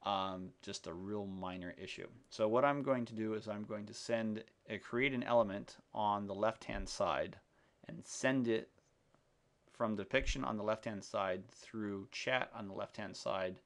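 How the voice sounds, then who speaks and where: A man giving an unscripted screencast tutorial in a halting, explanatory, step-by-step manner. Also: lowish voice, fairly bright tone. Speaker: software demonstrator. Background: keyboard typing and mouse clicks.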